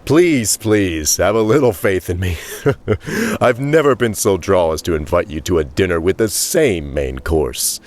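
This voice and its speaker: A man's voice: sits low, animated, unhurried. A man playing a wealthy, smug, urbane husband in an audiobook, with a suave, theatrical, droll delivery. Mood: playful, assured. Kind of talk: audiobook character acting.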